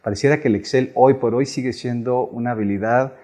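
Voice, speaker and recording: A man's voice: mid-range voice, fairly quick, a little mellow. A man hosting an interview, asking long conversational questions. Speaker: podcast host.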